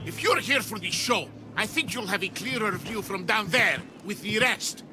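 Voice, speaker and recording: An actor playing a cruel, greedy guard in a video game, with a menacing, sneering delivery. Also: male voice, high voice, expressive, moderate pace, over music. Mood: smug, menacing. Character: cruel, greedy male guard, bullying extortionist. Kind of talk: video game cutscene acting.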